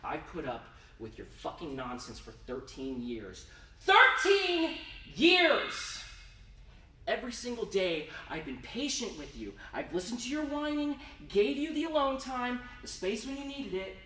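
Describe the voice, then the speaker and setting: A man voicing a furious, fed-up wife berating her husband in a live story reading, shouting and biting. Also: extremely high pitch, wildly swooping pitch, measured pace, voice pushed up, heavily acted. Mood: exasperated, bitter. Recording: roomy, noisy live room, distant mic.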